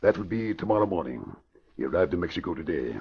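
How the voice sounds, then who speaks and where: A scheming American investment boss in a 1940s radio crime drama. His very low voice swings widely and emphatically as he commands and connives. The old recording is dull and muffled. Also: big pitch swings, fast, vocal fry, breathy edge, full character voice. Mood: instructing. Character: scheming middle-aged crooked investment boss, commanding and smooth.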